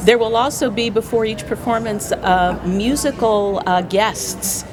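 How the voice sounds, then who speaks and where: A woman's voice: a little high, unhurried, music in the background. An American woman speaking as a guest in a casual interview about community work.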